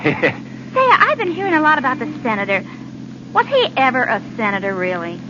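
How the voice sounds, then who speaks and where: Brassy, high-voiced gangster's moll. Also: female voice, wildly swooping pitch, moderate pace. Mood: sly, teasing. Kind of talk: 1940s radio drama acting. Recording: muffled, noisy 1940s radio recording.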